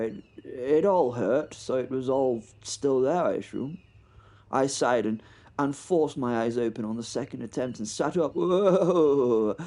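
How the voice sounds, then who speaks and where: A man's voice: medium pitch, darkish tone, close to an everyday voice. Man doing a flustered flying chap. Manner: flustered, self-mocking, stammering. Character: plucky British WWI pilot with a moustache. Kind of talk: comic first-person audio drama narration.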